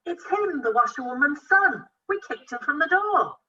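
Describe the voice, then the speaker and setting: A woman's voice: high-pitched, expressive, moderate pace, bright, forceful tone, far from the mic, muffled recording. A woman playing a haughty, nagging empress in a rhyming pantomime. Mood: scornful. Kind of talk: rhyming amateur pantomime acting.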